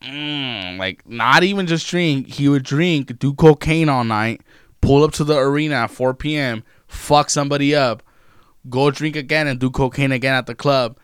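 A man's voice: medium pitch, normal speed, a bit bright, clean tone, dry recording, clean studio sound. A young man in casual podcast chat, loose and profane, rambling with trailing asides.

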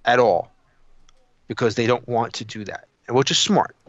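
A man's voice: mid-range voice, moderate pace. Guy on a podcast just talking, swears a lot, pushes his points hard, kinda dull lo-fi sound, no room echo. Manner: profane, blunt, emphatic. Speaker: podcast co-host. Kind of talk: casual opinionated chat about wrestling.

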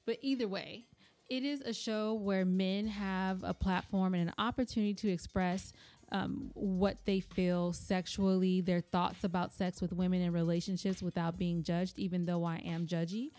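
A woman co-hosting a podcast in casual banter, playful, teasing and excitable. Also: lowish voice, moderate pace. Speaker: podcast co-host. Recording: music under the voice.

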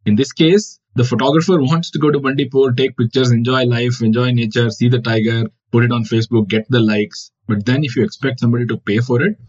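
A man's voice: medium pitch, fairly even intonation, a little fast, few pauses, darkish tone. A man from India whose first language is Kannada, giving reflective interview answers as a wildlife photographer with many fillers. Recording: dull lo-fi audio.

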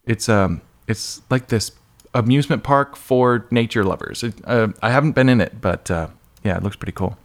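A man's voice: on the low side, moderate pace. Chatty male podcast interviewer. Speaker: podcast host interviewing an author. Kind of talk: casual interview chat.

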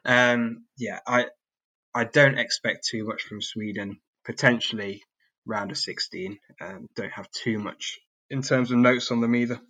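A man's voice: mid-range voice, fairly even intonation, measured pace, sharp tone, breathy edge, low-quality recording. A man talking informally and conversationally as co-host of a sports preview podcast. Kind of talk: casual football preview chat.

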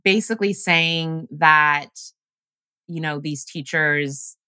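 Low bright-voiced woman guest, chatty. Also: slow pace, clear, resonant tone, no room sound. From grew up in Washington State. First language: Luganda. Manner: chatty storytelling, many fillers. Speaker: podcast guest, coach. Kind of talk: casual conversation.

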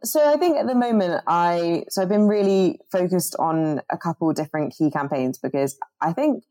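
A young woman with a low, mellow voice talks earnestly about her organizing over a video call. She restarts her sentences often as her thoughts run ahead, and the sound is dry and close. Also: moderate pace, no room sound. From born in Jamaica, grew up in the UK. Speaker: climate organizer guest.

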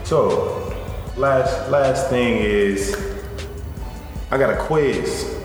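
A man's voice: medium pitch, very slow, very echoey, long reverb tail, over music. A young man hosting a casual chat, playfully reading out quiz questions and laughing easily. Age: young adult. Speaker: podcast host.

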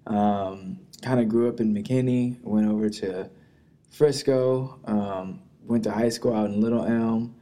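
Laid-back young man, low voice. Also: steady tone, unhurried, mellow. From Texas, USA. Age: young adult. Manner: laid-back, rambling with many fillers. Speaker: podcast guest, musician. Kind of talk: casual conversation.

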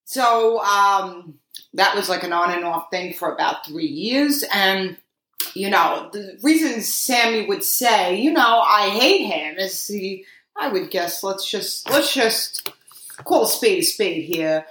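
A woman's voice: mid-range voice, animated, slow, a bit bright, reverberant. A woman with a New York accent co-hosting a casual podcast, sassy, teasing and blunt. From Queens, New York. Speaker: podcast co-host.

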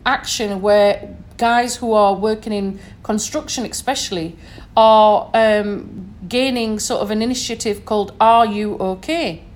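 A woman from Sheffield with a Sheffield accent, an educator giving an earnest recorded talk and often checking in with listeners with "okay?". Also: a little high. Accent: Sheffield English. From Sheffield, northern England.

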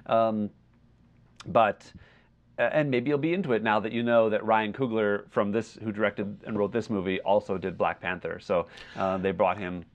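A man co-hosting a podcast in a casual film discussion, talking in a halting, wordy way as he thinks aloud. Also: fairly low pitch, steady tone, highs cut off. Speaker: podcast co-host.

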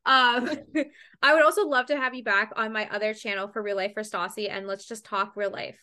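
A woman's voice: medium pitch, fairly even intonation, normal speed, pushed and bright. A woman in her late twenties from Canada, hosting a casual podcast interview, chatty and swearing freely. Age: 20s. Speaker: podcast host.

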